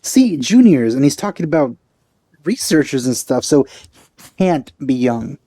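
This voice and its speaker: A man's voice: medium pitch, sing-song, measured pace, mellow, no room sound. A man giving casual let's play commentary in a rambling, hesitant way, often correcting himself, close to the microphone.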